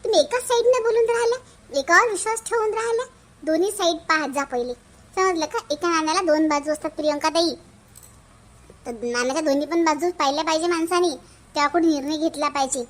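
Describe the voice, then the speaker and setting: A woman plays a sharp-tongued young daughter-in-law in a cartoon family drama. The voice is extremely high, almost childlike. She argues and scolds defiantly. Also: quick pace. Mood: indignant. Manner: argumentative, scolding.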